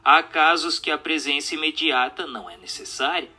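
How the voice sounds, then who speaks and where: Um ator brasileiro interpretando uma voz solene e instrutiva numa radionovela espírita, em tom formal e declamatório. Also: male voice, on the high side, moderate pace, close to an everyday voice. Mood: solemn, instructive. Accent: Brazilian Portuguese. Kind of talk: scripted radio drama reading of a spiritist question-and-answer dialogue.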